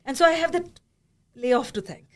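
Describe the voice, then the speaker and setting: A woman hosting a podcast, telling a story with animated energy and frequent laughter. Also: high voice, moderate pace. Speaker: podcast host. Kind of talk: podcast conversation.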